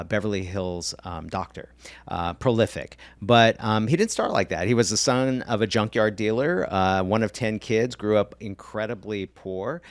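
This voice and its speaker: Low-voiced male podcast host, eager. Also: no room sound. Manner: eager, quick backchannel agreement. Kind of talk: casual interview chat.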